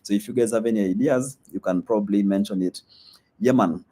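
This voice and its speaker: A young man talks casually into a live stream. His voice sits on the low side, and his speech is loose, with plenty of fillers. His is Swahili. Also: a little fast, mellow, breathy edge. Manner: loose and chatty, many fillers, reads comments aloud. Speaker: vlogger hosting a live stream. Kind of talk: casual live-stream chat reading comments.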